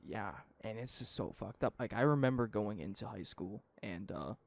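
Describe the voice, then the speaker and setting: A man's voice: fairly low pitch, normal speed, gravelly, no echo. A nineteen-year-old man from Canada, co-hosting a podcast in casual, profane, rambling opinion chat. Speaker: podcast co-host.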